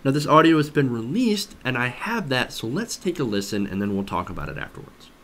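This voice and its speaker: Man, political commentary host, animated intonation, conversational, skeptical, emphatic, rhetorical questions. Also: mid-range voice, fairly quick, a little hiss or hum.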